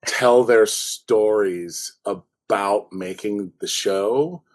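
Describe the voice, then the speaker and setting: A man's voice: lowish voice, very slow. A man chatting casually and enthusiastically as an interview guest, repeating words for emphasis. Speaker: audio drama writer, podcast guest.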